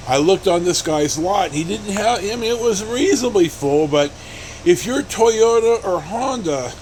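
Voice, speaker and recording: A middle-aged American man vlogging in a casual, rambling storytelling style, halting and blunt, with some mild swearing. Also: high voice, rough recording. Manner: halting, blunt, mildly profane. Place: inside a pickup truck on the road. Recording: steady vehicle cabin noise.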